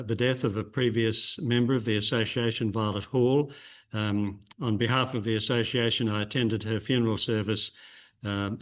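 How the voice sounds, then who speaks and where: A man with a low, flat voice gives a secretary's report to a club meeting. He is measured and formal, with the odd um between points. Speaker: general secretary. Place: meeting room.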